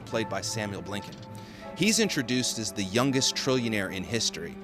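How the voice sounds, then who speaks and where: A man delivering scripted video-essay commentary with casual asides. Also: lowish voice.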